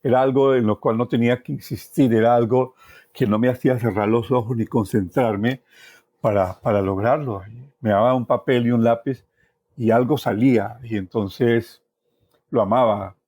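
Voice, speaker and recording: An older Colombian man telling personal stories in a reflective, expressive way as an interview guest. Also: medium pitch, normal speed, no room sound. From Valle del Cauca, Colombia. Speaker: journalist, podcast guest. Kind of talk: personal storytelling.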